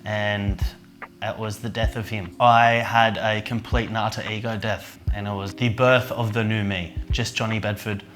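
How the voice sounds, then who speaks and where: Soft-spoken earnest man. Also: on the low side, level tone, normal speed. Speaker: event organiser and men's coach. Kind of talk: reflective documentary interview.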